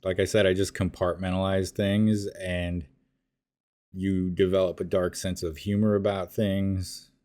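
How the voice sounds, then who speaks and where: Deep-voiced man chatting casually. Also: a little slow. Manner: relaxed and conversational, frequent fillers and restarts. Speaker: podcast host answering listener emails. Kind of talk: casual spoken monologue.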